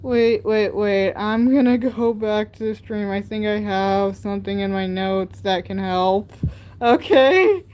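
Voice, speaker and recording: A teenage girl hosting a casual livestream. She talks slowly and rambles through her sentences, padding them with fillers as she holds up crafts and speaks straight to her viewers. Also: mid-range voice, a little mellow. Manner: rambling, filler-heavy with many "like" and "um". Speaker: livestream host. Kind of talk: casual livestream chat showing handmade crafts.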